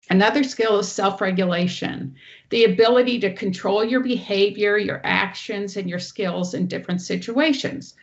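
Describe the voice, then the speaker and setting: A woman presenter with a loud teacher voice, giving an online training talk and walking through each slide. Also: mid-range voice, highs cut off. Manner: explains clearly, walks through each slide. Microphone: online meeting audio.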